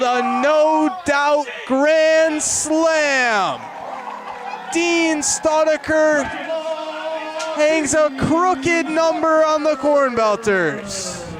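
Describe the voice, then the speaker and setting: High-voiced young man calling baseball. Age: young adult. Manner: vivid descriptive calls that rise with the action. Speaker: baseball play-by-play announcer. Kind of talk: live radio sports commentary. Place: outdoors at a ballpark.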